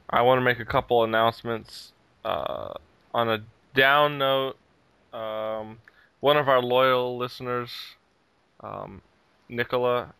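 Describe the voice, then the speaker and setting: A man's voice: medium pitch, pushed and bright, clean tone, dry recording. A man hosting a casual gaming podcast, chatting easily and chuckling between remarks. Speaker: podcast host.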